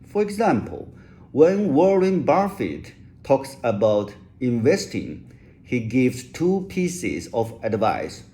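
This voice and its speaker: Slow, careful man. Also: mid-range voice, sing-song, dark tone. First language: Chinese. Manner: slow, careful delivery with occasional restarts. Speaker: author giving a lecture. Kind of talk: rehearsed English lecture.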